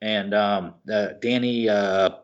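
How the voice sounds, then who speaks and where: A man co-hosting a podcast, chatting casually and enthusiastically, halting and searching for words as he rambles. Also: fairly low pitch, steady tone. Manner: informal, enthusiastic. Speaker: podcast co-host. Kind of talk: casual chat about films and TV shows. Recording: slightly dull, lo-fi podcast audio.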